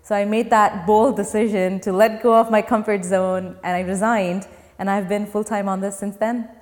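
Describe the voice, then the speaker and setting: A young woman from Dubai speaks as a startup founder in an interview, laying out her plans patiently and earnestly. Her voice sits at an ordinary middle pitch. A long reverb trails behind each phrase. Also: fairly even intonation, moderate pace, darkish tone. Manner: earnest, explains step by step. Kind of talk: interview answers.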